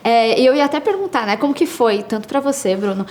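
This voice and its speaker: A woman's voice: a little high, animated, fast talker, darkish tone. A woman interviewer, asking questions in a friendly, chatty way with frequent affirmations.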